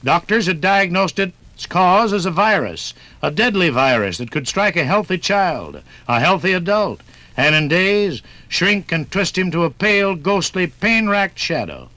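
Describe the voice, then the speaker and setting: A man's voice: sits high, big pitch swings, unhurried, sharp tone, no room sound, rough recording. A man narrating and announcing in a polished, declamatory 1950s radio style.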